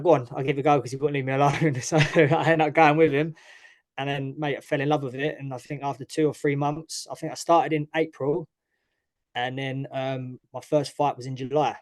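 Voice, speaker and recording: A man's voice: medium pitch, little pitch movement, brisk. A young man with an East London accent, a fighter talking casually as an interview guest, full of restarts and fillers. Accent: East London English. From East London, England. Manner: chatty, frequent restarts and "obviously". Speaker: guest, mixed martial arts fighter.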